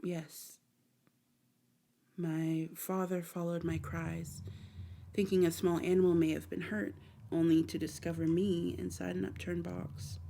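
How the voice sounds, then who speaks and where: A woman voicing a gentle, lonely dark fae in an ASMR roleplay, speaking tenderly and hesitantly to the listener in formal storybook phrasing. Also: on the low side, flat delivery, unhurried, vocal fry. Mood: wistful, sorrowful. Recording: faint background noise.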